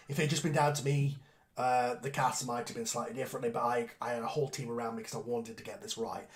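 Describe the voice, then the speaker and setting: A man, the show's creator, chatting loosely on a group video call, rambling with quick asides. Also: medium pitch. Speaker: show creator and host. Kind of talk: casual aftershow chat on a group call.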